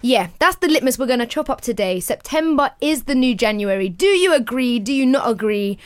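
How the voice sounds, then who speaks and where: A woman's voice: on the high side, expressive, brisk. A woman with a London accent co-hosting a podcast in a chatty, slangy way, moving between banter and introductions. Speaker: podcast co-host.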